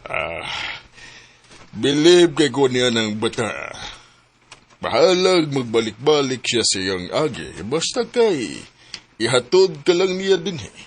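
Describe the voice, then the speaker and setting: Stern father, middle-aged, lecturing slowly with wide pitch swings. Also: sing-song, exaggerated voice. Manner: slow, lecturing. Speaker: Ana's father.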